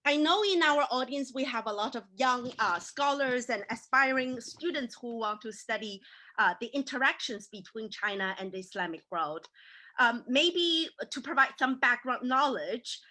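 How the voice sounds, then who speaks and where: A woman's voice: fairly high pitch, pushed and bright. A woman whose first language is Mandarin, moderating an academic panel with conversational, gracious remarks and frequent hesitations. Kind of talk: unscripted opening remarks.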